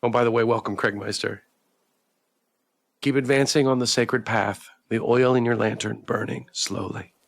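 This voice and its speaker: A man's voice: medium pitch, steady tone, normal speed, vocal fry, dry recording. A man streaming a visual novel, reading the narration aloud and adding casual commentary of his own. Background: background music.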